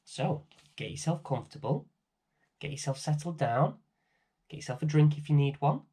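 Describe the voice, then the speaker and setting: A middle-aged man hosting and reading a children's bedtime story in a gentle, expressive way. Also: fairly high pitch, normal speed, slightly rough. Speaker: storybook reader and host.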